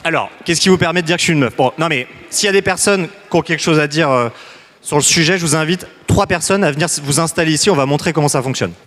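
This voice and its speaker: A man runs a citizens' assembly in a loose, joking way, often breaking off and restarting his sentences. His voice sits a little high for a man. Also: fast talker, fairly bright tone. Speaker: facilitator.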